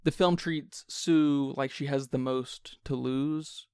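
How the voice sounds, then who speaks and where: A man's voice: medium pitch, steady tone, takes it slowly, darkish tone, clean tone, dry recording, clean studio sound. A man co-hosting a podcast, talking through a film in a casual but analytical, reflective way. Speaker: podcast co-host.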